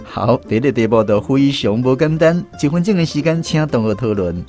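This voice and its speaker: A man's voice: medium pitch, brisk, a little mellow, faint music behind. Taiwanese man hosting a radio lesson. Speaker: radio show host. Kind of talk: hosting a language lesson segment.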